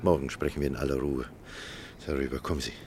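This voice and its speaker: An actor playing an earnest, conflicted doctor in love in a German radio drama, speaking in a formal, insistent manner. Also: male voice, very deep voice, fairly even intonation, fast talker, a little mellow, a little hiss or hum. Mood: gentle, consoling.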